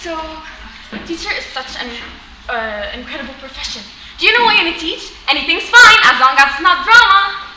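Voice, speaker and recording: A woman's voice: very high voice, sing-song, a little fast, pushed and bright, far from the mic, exaggerated voice. An actress playing a fretful, melodramatic mother in a comedy sketch, recorded in an echoey room. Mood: relieved. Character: fussy, melodramatic, anxious mother of a student. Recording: echoey room with clear noise.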